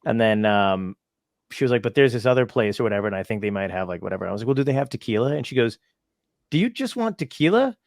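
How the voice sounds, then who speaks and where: A man's voice: mid-range voice, sing-song, fast, gravelly, darkish tone, no echo, studio quality. A man hosting a podcast interview, enthusiastic and rambling as he tells stories and asks questions. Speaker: podcast host. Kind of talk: casual interview chat.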